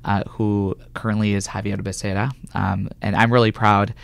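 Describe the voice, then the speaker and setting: A man's voice: fairly low pitch, flat delivery, normal speed, gravelly, clean tone, dry recording. A man from California answering conversationally as an interview guest, a government health official, in a radio studio. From California, United States.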